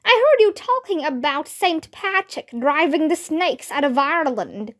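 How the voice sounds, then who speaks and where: A woman's voice: sits high, lively intonation, unhurried, fairly bright tone. A woman voicing a batty, doting female school secretary in a storybook, cheerful and reassuring. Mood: inviting.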